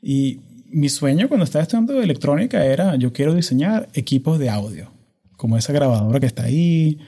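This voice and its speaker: A Venezuelan man in his thirties hosts a relaxed podcast conversation. His voice is dark and mellow, but his delivery is energetic and hyperkinetic, with English terms slipping into his Spanish. It is recorded close on a studio microphone. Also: fairly high pitch, fairly quick, breathy edge. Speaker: podcast host.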